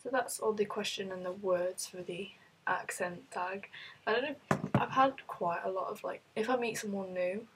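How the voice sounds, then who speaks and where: A woman's voice: mid-range voice, normal speed, background noise. A woman with a British accent chatting casually through a list of questions, rambling with lots of fillers while she is ill. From United Kingdom. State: ill, voice rough. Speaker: vlogger.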